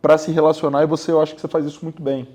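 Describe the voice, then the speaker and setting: A man's voice: a little high, level tone, racing, darkish tone. A Brazilian man hosting a podcast interview, often hesitating and repeating words as he asks his questions. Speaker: podcast host. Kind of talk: casual interview talk.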